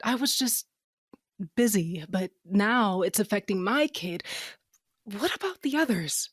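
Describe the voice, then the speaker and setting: A woman's voice: mid-range voice, animated, measured pace, slightly rough, no echo, studio quality. A woman playing a caring, earnest mother in a fantasy audio drama, with a natural conversational delivery. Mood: worried. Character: worried, caring mother held in a fantasy jail.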